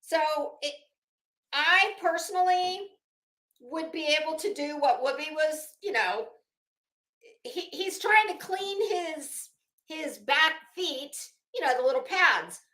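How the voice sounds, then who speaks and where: A woman's voice: sits high, animated, takes it slowly, pushed and bright, echoey room. A sassy, salty-tongued woman chatting casually and theatrically as she hosts a rambling vlog. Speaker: YouTube host.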